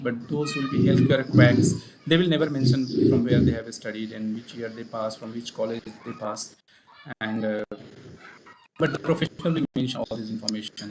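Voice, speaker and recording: A man with an Indian accent, a speech therapist hosting a live stream, speaking in a measured way with many fillers and repeated points. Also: mid-range voice, slight background noise, low-quality recording. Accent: Indian English. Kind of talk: live-stream talk and advice. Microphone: online live stream.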